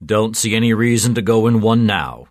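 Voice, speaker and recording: A man voicing a cynical, gruff police sergeant in a story, clipped and sardonic in his delivery. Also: fairly low pitch, lively intonation, normal speed, no echo, studio quality, close to an everyday voice. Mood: gruff, dismissive. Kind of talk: storytelling character voice.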